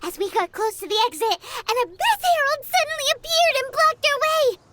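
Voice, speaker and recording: A woman voicing a tiny, excitable fairy companion girl who chatters and refers to herself in the third person, in video game voice acting. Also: very high-pitched, brisk. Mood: excited. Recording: game audio with loud music.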